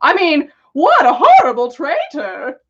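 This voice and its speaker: Woman acting a seductive male dark wizard, sheepish, solemn, storybook villain, animated pitch, slightly dull recording. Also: measured pace, full character voice. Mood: solemn, flustered. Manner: solemn, flirtatious.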